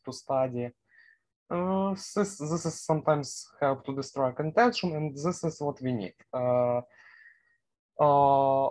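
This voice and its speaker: Slow-paced young Ukrainian man, halting. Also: mid-range voice, darkish tone, low-quality recording. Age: young adult. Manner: halting, searching for words in non-native English. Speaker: university student, interview guest. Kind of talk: unscripted interview answers.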